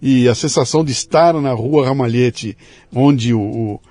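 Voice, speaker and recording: A Brazilian man from interior São Paulo hosting a podcast interview, telling stories in an animated, emphatic way. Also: medium pitch, a little slow, dry recording. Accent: São Paulo interior Brazilian Portuguese. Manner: animated storyteller, emphatic asides. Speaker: podcast host. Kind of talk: conversational interview.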